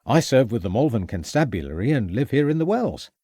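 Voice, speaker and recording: A man's voice: mid-range voice, very animated, a little fast, mellow, no room sound, studio quality. A man voicing a hearty, deferential rural police constable in an audiobook mystery. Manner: bluff, deferential.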